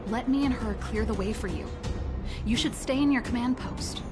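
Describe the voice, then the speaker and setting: A woman's voice: fairly high pitch, moderate pace, music in the background, natural voice. An actress playing a headstrong, laid-back young woman rocker with a casual, wry manner in a video game. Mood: concerned.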